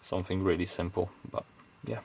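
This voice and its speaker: A man's voice: deep voice, steady tone, a little fast, vocal fry, a little mellow, a little hiss or hum. A man giving casual, off-the-cuff technical commentary as he hosts an electronics teardown, hesitantly thinking aloud as he goes.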